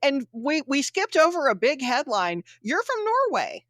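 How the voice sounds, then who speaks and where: A woman hosting a casual interview, upbeat and quick to laugh. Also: high voice, huge pitch swings, fairly bright tone, no echo, studio quality. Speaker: host.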